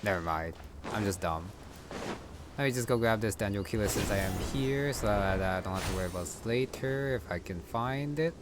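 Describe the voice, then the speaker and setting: A man's voice: lowish voice, expressive, fairly quick, background noise. A man giving casual gameplay commentary, muttering and thinking aloud as he plays. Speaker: gamer. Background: game music.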